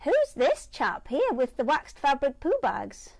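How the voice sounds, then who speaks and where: High-voiced woman, chatty live-stream host, dry close sound. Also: wildly swooping pitch, unhurried, creaky, no echo. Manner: chatty, laughs often, warm-hearted teasing. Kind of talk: casual chat, live stream.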